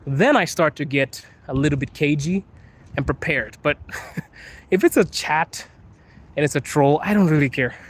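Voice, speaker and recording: A man's voice: on the high side, normal speed. A young man from Zimbabwe live-streaming from his phone on a wet street at night, chatting casually, laughing often and teasing his viewers. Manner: laid-back, laughs often, playful teasing. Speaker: livestream host. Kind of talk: casual live-stream chat, reading comments. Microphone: phone held while walking.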